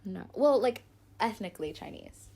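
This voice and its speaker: A young woman from Singapore co-hosting a podcast, giggly and expressive in casual chat. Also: medium pitch, vocal fry, slight background noise. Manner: giggly and expressive, quick asides. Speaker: podcast co-host.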